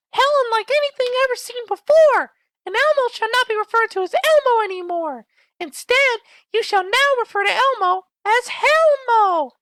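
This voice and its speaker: Shrill, evil childlike puppet monster. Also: bright, forceful tone, dry recording, heavily acted, low-quality recording. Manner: grandiose, threatening, speaks of self in third person. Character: menacing high-pitched puppet monster villain, gleefully evil, childlike. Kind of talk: villain speech in a fictional emergency broadcast.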